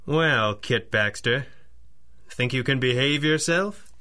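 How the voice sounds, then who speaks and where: An actor playing a wealthy young 1930s gentleman in a radio drama, formal and polite with a dry wit. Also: medium pitch, takes it slowly. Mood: flustered, earnest. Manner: formal, courteous, dryly witty.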